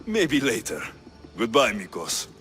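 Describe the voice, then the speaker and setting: An actor voicing a bold, wry, commanding Greek mercenary captain in a video game. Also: male voice, mid-range voice, wildly swooping pitch, measured pace, bright, forceful tone, faint music behind, full character voice. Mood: wry, flirtatious. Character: bold, flirtatious, stern male Greek mercenary ship captain. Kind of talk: video game character acting.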